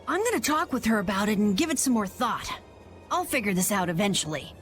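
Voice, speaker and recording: A woman's voice: medium pitch, very animated, fairly quick, fairly bright tone. A woman playing an earnest, unsure but determined young boy in a video game cutscene. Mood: troubled, resolving. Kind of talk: English game cutscene voice acting. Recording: music behind the voice.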